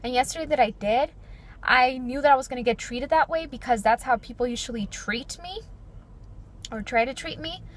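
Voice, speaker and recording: Chatty young woman, fairly deep voice. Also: normal speed. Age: 20s. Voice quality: fairly deep voice for her age. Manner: earnest, chatty, frequent "like" and "you know". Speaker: beauty vlogger. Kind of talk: personal reflective chat to camera.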